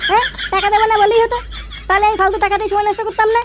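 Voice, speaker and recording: An adult voicing a cheeky, foul-mouthed young boy in a Bengali comedy cartoon, with a whiny, brash, squeaky delivery. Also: little pitch movement, very fast, heavy background noise. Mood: indignant. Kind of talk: comic cartoon dubbing in colloquial Bengali.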